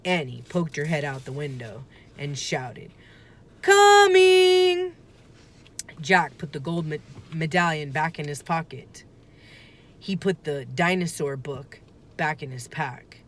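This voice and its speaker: A woman reading a children's story aloud in a deliberate, expressive narrating style. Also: sits low. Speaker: storybook reader.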